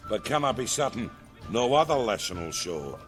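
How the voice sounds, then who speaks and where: An actor playing an effusive, obliging middle-aged village merchant in a video game. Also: male voice, medium pitch, sing-song, moderate pace, faint music behind, lightly acted. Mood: effusive, anxious. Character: fawning, excitable middle-aged village merchant paying a bounty. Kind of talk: video game character acting.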